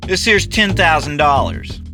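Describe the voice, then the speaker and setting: A man's voice: fairly high pitch, very animated, unhurried, vocal fry, music in the background, voice pushed up, exaggerated voice. A man voicing a brash, pushy conman who poses as a preacher, cocky and commanding in an audiobook story.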